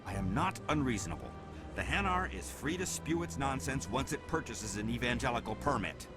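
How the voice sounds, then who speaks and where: A man's voice: mid-range voice, expressive, brisk, over loud music, close to an everyday voice. A man playing a stern, officious alien security officer in a video game, formal and by the book. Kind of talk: game voice acting.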